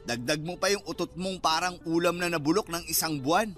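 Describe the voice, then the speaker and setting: A man's voice: on the high side, fairly quick, fairly bright tone, soft background music, natural voice. An actor playing a young Filipino man in a radio drama, a teasing best friend who banters in slang and acts mock-offended.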